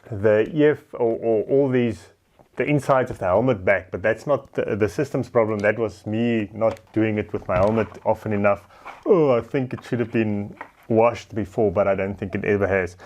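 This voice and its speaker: A man's voice: medium pitch, a little mellow, dry recording. A man giving a casual, unscripted product test as a vlogger, thinking aloud in an informal, self-deprecating way. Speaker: vlogger testing motorcycle gear. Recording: noisy motorbike and outdoor background noise.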